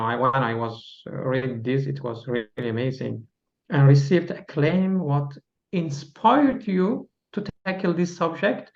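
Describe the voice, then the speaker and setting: A man hosting an interview who reads a formal introduction and asks questions in a halting way, with restarts. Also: medium pitch, creaky, a little mellow. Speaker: interview host. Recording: roomy, dull lo-fi sound.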